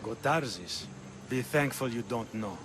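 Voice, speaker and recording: A man playing a wary male sailor who grumbles quickly. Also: mid-range voice, animated, background noise.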